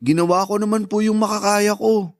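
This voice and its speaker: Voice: medium pitch, steady tone, fairly quick, no room sound, close to an everyday voice. A timid schoolboy son played by a voice actor in a radio drama. He sounds whiny and reluctant, pleading in a dry, close recording. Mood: meek pleading. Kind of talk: Tagalog radio drama acting.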